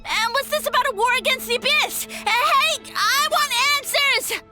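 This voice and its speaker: Squeaky, pompous little mushroom god. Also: female voice, normal speed. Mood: panicked bluster. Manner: boastful, pompous, easily flustered. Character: small pompous blustering male mushroom god. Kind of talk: animated video game acting. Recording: faint game music behind.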